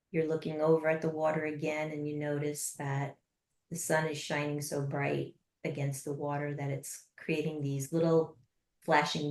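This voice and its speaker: Low, steady-voiced woman guiding a meditation. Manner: slow, soft and unhurried with long pauses. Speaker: guided meditation leader. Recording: roomy echo.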